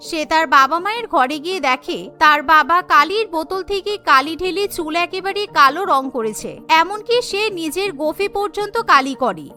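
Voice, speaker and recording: Very high, bright female storyteller. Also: expressive, normal speed, hardly any pauses, soft background music. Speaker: narrator. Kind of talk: scripted cartoon story narration.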